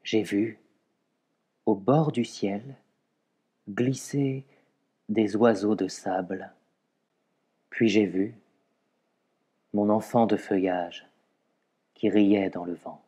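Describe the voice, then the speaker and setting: A man reciting poetry in a measured way, pausing between short phrases. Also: lowish voice, steady tone, warm tone, slightly rough. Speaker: poetry reader.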